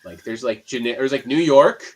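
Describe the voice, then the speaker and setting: A man's voice: mid-range voice, moderate pace, a little mellow, breathy edge, poor audio. A man from Canada with a Canadian accent, chatting casually and joking, chuckling at his own remarks. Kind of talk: casual chat.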